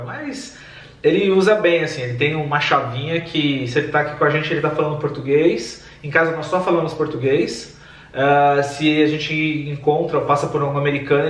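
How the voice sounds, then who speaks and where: A Brazilian man hosting, asking lively questions in a chatty, relaxed way. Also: on the high side, far from the mic. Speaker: host, interviewer. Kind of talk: casual interview questions. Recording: echoey room, away from mic.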